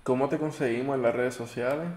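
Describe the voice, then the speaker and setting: A man hosts a conversation, friendly and loose, with small fillers between his questions. His voice has an ordinary male pitch. The room gives it a noticeable echo. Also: steady tone, brisk, a little mellow. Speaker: podcast host. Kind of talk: interview questions. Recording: roomy echo.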